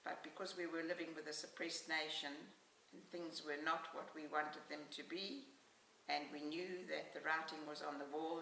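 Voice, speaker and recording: An older woman in her seventies with a South African accent, reminiscing about her life in an unhurried, matter-of-fact way as an interviewee. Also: deep voice, vocal fry, sharp tone. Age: 70s. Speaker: oral history interviewee. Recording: echoey room, distant mic, faint hiss.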